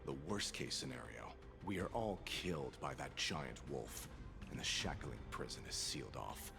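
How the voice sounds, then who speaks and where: An actor playing a grim, fatalistic guard and assassin in a video game, speaking in a cold, deadpan manner with sighs, over music. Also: male voice, rumbling low, normal speed, gravelly, heavily acted. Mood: grim, resigned.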